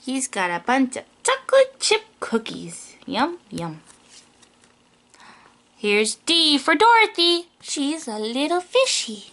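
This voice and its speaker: A woman's voice: high voice, slow pace. A woman teacher reading a picture book aloud to young children, talking straight to them with simple questions and playful emphasis. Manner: animated, questioning, speaks directly to small children.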